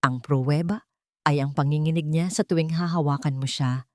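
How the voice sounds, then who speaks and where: Isang babaeng gumaganap bilang walang takot at palabang batang lalaking doktor, matatag at diretso magsalita sa kuwentong drama. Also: female voice, sits low, fairly even intonation, moderate pace, mellow, no echo, clean studio sound, lightly acted. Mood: fearless accusation. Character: fearless, defiant young male resident doctor.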